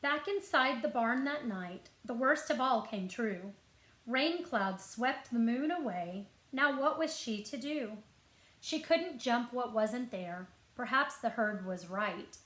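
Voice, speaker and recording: Female children's librarian for storytime read-aloud: higher-pitched voice, unhurried, expressive delivery, roomy sound. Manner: expressive storytelling, clear deliberate phrasing, asks listeners questions. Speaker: school librarian. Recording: roomy echo.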